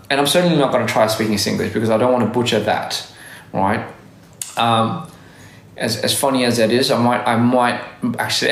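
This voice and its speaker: A man's voice: medium pitch, gravelly, a bit bright, echoey room. A man in his thirties from Australia with a mixed Australian and American accent, chatting casually on a livestream and answering comments, rambling, correcting himself and laughing often. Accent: mixed Australian and American English. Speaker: livestream host.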